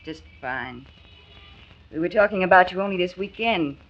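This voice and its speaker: Young secretary, polite and caring, fairly low female voice; 1940s radio acting on a muffled old transcription. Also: animated, normal speed. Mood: friendly. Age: young adult. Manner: polite, earnest, sympathetic. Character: earnest, kindly young secretary.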